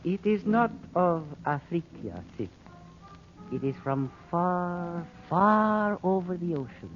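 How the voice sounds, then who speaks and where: On a muffled old radio recording, a sly North African man with secrets to sell. His English is formal and broken, and he doles it out haltingly in the mannered style of 1940s adventure drama. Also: high voice, expressive, faint music behind, heavily acted. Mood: cryptic, sly. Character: sly North African native go-between peddling stolen papers. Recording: dull old radio transcription.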